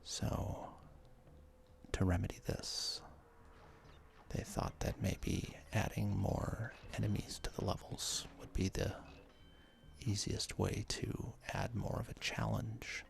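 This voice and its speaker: A man giving whispered ASMR commentary as a gaming video host, halting and hesitant, with casual asides as he plays. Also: on the low side, steady tone, vocal fry.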